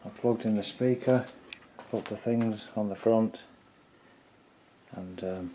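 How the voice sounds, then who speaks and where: A man's voice: lowish voice, steady tone, takes it slowly, dark tone, a little hiss or hum. A man giving casual hands-on repair commentary, informally thinking aloud in a tentative way as he works. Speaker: hobbyist repairer presenting own video.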